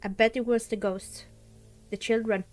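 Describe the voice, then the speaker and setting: A woman's voice: on the high side, fairly even intonation, normal speed, a little mellow, soft background music. A woman hosting a reaction video, casually and expressively commenting on a horror story and reading along.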